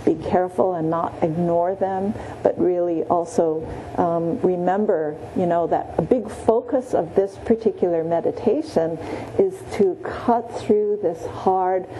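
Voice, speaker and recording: Woman meditation teacher, slow and gentle, dark mellow tone, faint background hiss. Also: fairly low pitch, poor audio. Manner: gentle, many pauses and restarts, frequent "yeah". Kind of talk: guided Buddhist meditation teaching. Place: meditation room.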